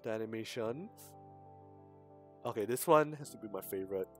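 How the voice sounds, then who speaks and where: A man's voice: lowish voice, expressive, normal speed. A man livestreaming a visual novel, talking casually in hesitant, rambling asides that often drop into a mumble. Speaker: streamer. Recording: music behind the voice. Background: game music.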